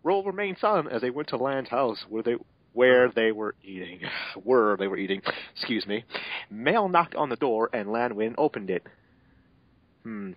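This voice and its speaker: A man's voice: medium pitch, very animated, normal speed, dry recording. A man narrating fan fiction as a mocking dramatic reading, sarcastic and exasperated, breaking off for asides, on a muffled home recording. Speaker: narrator and reader.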